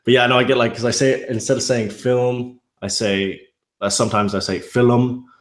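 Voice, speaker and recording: A man's voice: mid-range voice, fairly even intonation, normal speed, roomy sound. A man from Ireland with a mild Irish accent, chatting casually as an interview guest, rambling with many restarts. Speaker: YouTube narrator guest.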